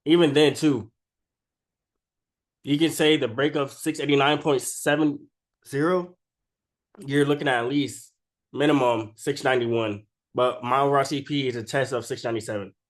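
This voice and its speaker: A man with an average-pitched voice, sick with a cold, talks through market charts in a casual, unscripted way. He is informal and slangy, often tagging his points with a quick check-in question, like a creator explaining his screen to followers. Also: steady tone. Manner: informal and slangy, keeps tagging statements with "right?". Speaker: stock trading video creator.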